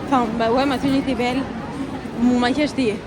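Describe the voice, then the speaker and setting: A woman's voice: high-pitched, flat delivery, quick pace, very noisy. A young woman chatting casually to her viewers as she vlogs through city streets and shops, slangy and talkative. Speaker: vlogger host. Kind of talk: casual travel vlog narration. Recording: loud street crowd and music behind.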